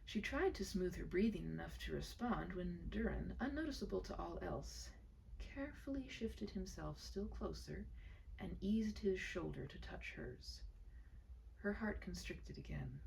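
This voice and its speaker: A woman reading a short story aloud in a gentle, dramatic storybook manner. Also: fairly low pitch, normal speed, creaky, far from the mic, slight background noise, low-quality recording. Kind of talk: expressive scripted story narration.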